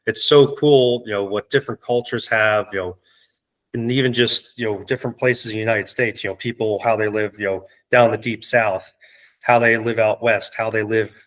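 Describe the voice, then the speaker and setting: Earnest male host, slightly low voice, loose chatty delivery. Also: fairly bright tone. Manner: earnest, heavy use of "you know" fillers. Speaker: podcast host. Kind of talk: casual conversation.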